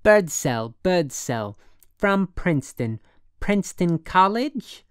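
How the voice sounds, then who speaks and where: A woman's voice: fairly low pitch, very animated, slow, smooth, no echo, studio quality, voice pushed up. A woman voicing a brassy working gal in an audiobook, slangy and suspicious, with affectedly genteel airs. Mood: surprised, warming.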